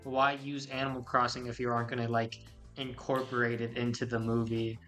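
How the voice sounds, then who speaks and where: A man's voice: medium pitch, flat delivery, a little fast, sharp tone, faint music behind. A man co-hosting a casual gaming podcast, bluntly disagreeing and often starting with stammered repeats. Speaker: podcast co-host.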